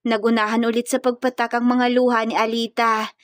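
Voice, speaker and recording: A female storyteller narrates a scripted romance drama. Her voice is fairly high, and the recording is close and dry. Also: flat delivery, a little fast, no room sound.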